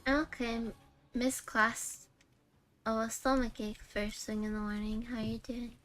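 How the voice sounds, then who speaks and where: A woman streams a video game in a slightly high voice. She chats casually and reads game text out loud. Soft music runs behind her. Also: fairly even intonation, a little slow. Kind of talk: casual live stream chat, reading game text aloud.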